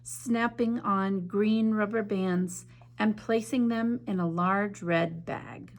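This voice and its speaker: Slow, gravelly-voiced woman reading aloud. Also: mid-range voice, steady tone. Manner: gentle, measured reading with soft drops in volume; enthusiastic, chatty when discussing the art. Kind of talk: slow storybook read-aloud, then art discussion.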